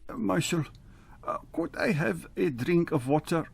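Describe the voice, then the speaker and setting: A meek frontier farmer, acted by a man, stammering and hesitant. His slightly high voice is husky and full of creak, as heard in a radio Western. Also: expressive, measured pace, exaggerated voice. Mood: meek, relieved. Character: timid, hesitant farmer held in a frontier jail.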